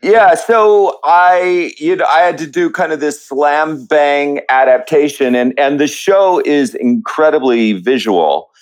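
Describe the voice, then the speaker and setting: A man speaking as an interview guest, explaining his writing process conversationally and thinking aloud in a measured way. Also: on the high side, low-quality recording.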